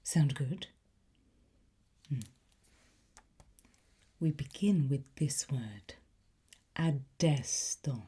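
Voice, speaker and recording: A woman whispering an ASMR roleplay as a sly, softly menacing demonic teacher, in a coaxing, teacherly manner with pauses. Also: low-pitched. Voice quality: breathy, mostly whispered.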